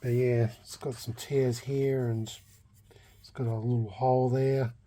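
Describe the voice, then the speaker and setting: A man with a mellow, relaxed voice at a middling pitch talks through a hobby project in an unscripted vlog. He speaks haltingly and casually, feeling his way through each thought aloud. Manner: hesitant, halting, thinking aloud. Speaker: hobbyist vlogger restoring a vintage radio.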